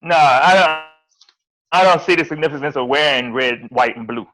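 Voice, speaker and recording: A man's voice: a little high, normal speed, sharp tone, rough recording. A man speaking bluntly on a casual panel discussion, joking and swearing freely.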